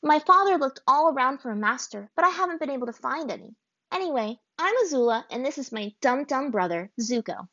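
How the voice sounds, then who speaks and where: A woman's voice: high-pitched, sing-song, a little fast, sharp tone, no room sound, dull audio, pitched above the performer's own voice, exaggerated voice. A woman putting on a bratty, scoffing Azula. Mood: scornful. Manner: sassy, scoffing, talks down. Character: bratty teasing young firebender girl.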